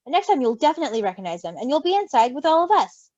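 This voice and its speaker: A woman voicing a kindly, soft-hearted older male creature in a story reading, coaxing and reassuring. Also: sits high, sing-song, racing, dry recording. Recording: dull lo-fi recording.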